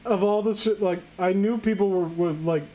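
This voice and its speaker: A man reading fan fiction aloud casually, breaking off with sarcastic, halting, sweary commentary. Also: high-pitched, mellow, a little hiss or hum.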